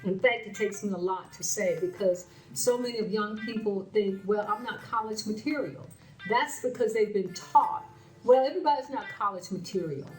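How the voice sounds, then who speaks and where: Slightly raspy woman hosting, earnest and repetitive, recorded roomy and far from the mic, soft music under her voice. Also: mid-range voice, moderate pace. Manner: earnest, repeats phrases for emphasis. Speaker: show host. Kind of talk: spoken commentary to camera. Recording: roomy sound from a distant mic with faint music.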